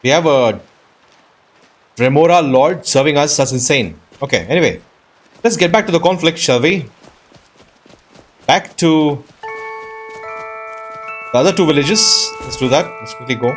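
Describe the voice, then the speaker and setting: A man's voice: a little high, lively intonation, a little slow, pauses often. A man hosting a casual let's play, chatty and thinking aloud as he goes. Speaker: gaming video host. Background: soft mallet game music.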